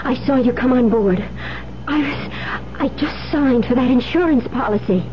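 A woman's voice: sits high, normal speed, lightly acted. A woman playing an anxious, trapped wife in a radio drama, with a nervous, hesitant manner. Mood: urgent, fearful. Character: anxious, trapped wife fearing for her life. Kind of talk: old radio drama acting. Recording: dull radio recording, loud cabin noise.

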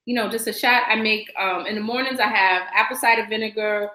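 A woman co-hosting a podcast live stream, talking with lively enthusiasm and lots of hesitant fillers. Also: mid-range voice, brisk, roomy sound. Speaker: podcast co-host. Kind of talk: casual live-stream chat.

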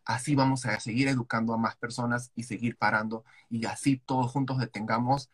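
A man's voice: mid-range voice, fairly even intonation, a little fast, a bit bright, breathy edge. A man speaking with passion against hate and stigma, repeating words for emphasis. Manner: impassioned, repetitive emphasis. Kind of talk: casual panel conversation.

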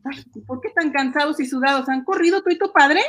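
A woman's voice: high-pitched, fairly quick, a bit bright. A woman with a Peruvian accent playing a fussy, affectionate housewife and mother in an amateur radio drama, coaxing and exclaiming. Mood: bustling. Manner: exclaiming, repetitive, coaxing, sighing. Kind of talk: amateur Spanish-language radio drama acting.

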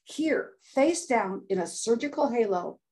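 A woman's voice: on the high side, expressive, a little slow, a little mellow. An older American woman, a health coach guest, telling a personal story with dramatic flair and acted-out quotes. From United States. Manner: dramatic, acts out quotes with voice shifts. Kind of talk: emotional storytelling in interview.

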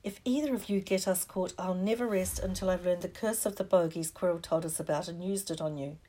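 A woman's voice: on the low side, a little fast, dark tone, natural voice. A woman voicing a hot-tempered, blunt young schoolboy in a storybook, brusque and grumbling. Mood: threatening.

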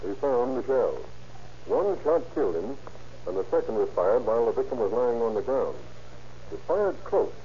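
An actor playing a dutiful sheriff's deputy in an old radio crime drama, explaining things formally and earnestly. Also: male voice, medium pitch, big pitch swings, moderate pace. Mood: earnest, reporting. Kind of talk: 1930s-40s radio crime drama acting. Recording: muffled, noisy old-time radio recording.

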